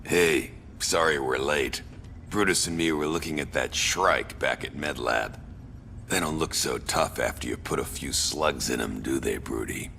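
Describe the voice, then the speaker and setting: Gruff wry army squad leader in a video game, really low n creaky, terse, cool about it. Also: male voice, measured pace, bright, forceful tone, a little hiss or hum, exaggerated voice. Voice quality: very deep, gravelly. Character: gruff, laid-back veteran male soldier squad leader. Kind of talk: video game cutscene acting.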